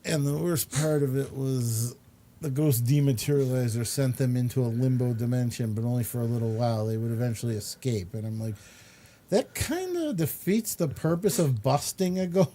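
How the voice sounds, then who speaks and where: A man's voice: mid-range voice, expressive, moderate pace, mellow. A man chatting casually and opinionatedly about pop culture, rambling as he explains and throwing in exasperated asides. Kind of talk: casual chat about films and comics.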